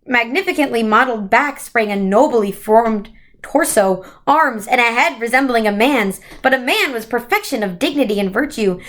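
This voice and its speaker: Lady reading a storybook to kids, highish voice, trips on a word here n there. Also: a bit bright. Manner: stumbles over words and corrects herself. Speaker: story reader. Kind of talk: children's book read-aloud.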